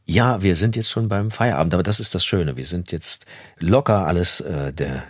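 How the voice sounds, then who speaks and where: A man with a very deep, slightly rough and husky voice speaks as a guest in a relaxed interview. He is modest and reflective in how he talks. The sound is close and very dry, as in a recording studio. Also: moderate pace, dry recording. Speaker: interview guest, audiobook narrator. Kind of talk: casual conversational interview.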